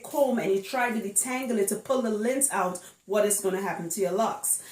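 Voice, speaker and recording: A woman's voice: fairly high pitch, darkish tone. A woman giving a casual, chatty explainer to camera as a hairstylist, emphatic and fond of rhetorical questions. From Trinidad. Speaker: locs hairstylist. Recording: roomy, echoing indoor sound.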